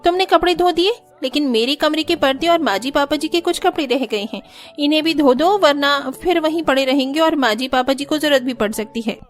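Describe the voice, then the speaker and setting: Lady doing a high voiced naggy bossy sister in law for a family cartoon, music under it. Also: brisk, natural voice. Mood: demanding. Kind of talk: Hindi moral-story cartoon dubbing.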